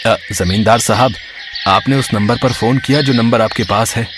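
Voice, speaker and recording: A man voicing an anxious, loving middle-aged village father in a story, gentle and respectful. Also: medium pitch, moderate pace, noisy background, natural voice. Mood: worried.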